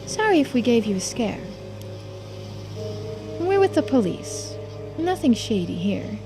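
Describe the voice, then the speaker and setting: A composed woman investigator from a mystery game, read by a woman streamer, authoritative and polite. Her voice is a little high yet measured, with music behind it. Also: sing-song. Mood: polite, probing. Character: composed, shrewd female police investigator in charge.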